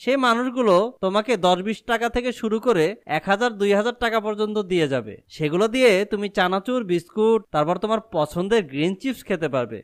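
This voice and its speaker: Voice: medium pitch, expressive, fast, hardly any pauses, lightly acted. A performer voicing a teasing, boastful grown-up who explains things in a comic cartoon. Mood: teasing, explaining. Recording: clearly noisy with clicks.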